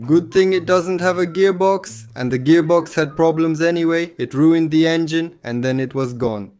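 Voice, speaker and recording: A hoarse man hosting a comedy show and reading viewer comments with quick, jokey asides. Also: on the high side, a little mellow.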